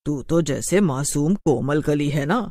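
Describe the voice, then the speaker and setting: A man's voice: on the high side, a little slow, warm tone, no room sound. A man voicing a vengeful female witch ghost in a cartoon horror story, with a menacing, accusing, dramatic delivery. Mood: vengeful, mocking. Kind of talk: animated horror story dialogue.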